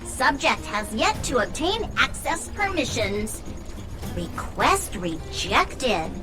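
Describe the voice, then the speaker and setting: A slow-speaking female robot sentry from a video game, obedient and procedural. The voice is fairly high with swinging pitch, delivering clipped formal notices over music. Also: very animated, heavily acted, poor audio. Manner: formal, clipped machine announcements. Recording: music clearly behind the voice.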